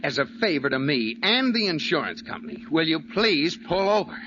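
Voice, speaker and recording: Through a very noisy, muffled vintage radio recording comes an exasperated sitcom husband. His voice is highish and swings wildly in pitch, with a dry, placating manner that is always close to panic. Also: sing-song, moderate pace. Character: exasperated, nervous husband coaching his hopeless wife. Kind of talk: 1940s-50s radio sitcom acting.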